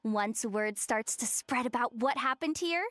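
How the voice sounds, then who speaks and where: A woman's voice: fairly high pitch, expressive, measured pace, a bit bright, no echo, studio quality. A woman voicing a feisty, cheeky young inventor girl in a video game. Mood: indignant.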